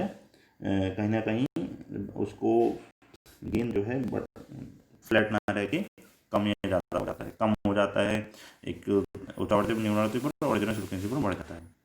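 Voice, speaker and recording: A man's voice: lowish voice, a little hiss or hum. A man with an Indian accent giving an explanatory electronics lecture, going step by step, repeating points and mixing in English technical terms. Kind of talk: explanatory lecture, reading definitions then paraphrasing them. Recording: echoey room sound.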